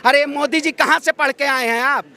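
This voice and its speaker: Male activist, very high bright voice, combative street oratory. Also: steady tone, normal speed. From Ayodhya, north India. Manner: combative, declamatory, rhetorical questions. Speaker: protester, activist. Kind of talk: impassioned street interview, political speech. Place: outdoor street protest site.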